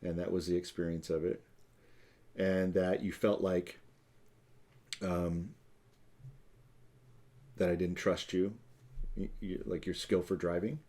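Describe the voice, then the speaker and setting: Slow, low-voiced man. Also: little pitch movement, a little mellow. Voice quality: booming voice. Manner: slow, deliberate mirroring with fillers. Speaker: co-host. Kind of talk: live relationship talk show, role-play of a conflict repair.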